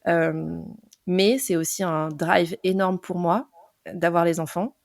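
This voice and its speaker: A woman's voice: fairly low pitch, steady tone, takes it slowly, creaky, dry recording, clean studio sound. A woman in her forties, an entrepreneur and podcast guest, talking through her story with many hesitations and fillers. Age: 40s. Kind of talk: casual interview chat.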